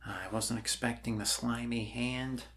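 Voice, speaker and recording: A man playing an awkward, apologetic doctor in an ASMR roleplay, talking in a halting, rambling, reassuring way. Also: medium pitch, level tone, a bit bright, a little hiss or hum, lightly acted. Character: awkward, apologetic, bumbling male doctor welcoming an alien.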